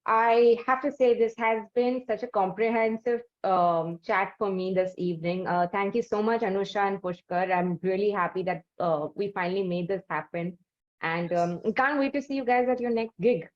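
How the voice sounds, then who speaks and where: A woman with an Indian accent hosts a music interview in an effusive, wordy way. Her pitch is average for a woman. The audio is a little dull and lo-fi. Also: steady tone, normal speed. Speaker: interview host.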